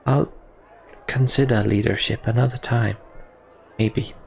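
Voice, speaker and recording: A man playing a candid, disillusioned young prince in a fantasy game, speaking in a measured, reflective way. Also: medium pitch, fairly even intonation, gravelly, a little hiss or hum. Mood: resigned. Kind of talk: voiced game dialogue reading.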